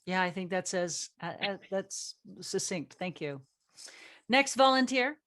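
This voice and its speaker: A woman's voice: medium pitch, animated, measured pace, fairly bright tone. A woman speaking casually as the host and playwright at an informal cast panel.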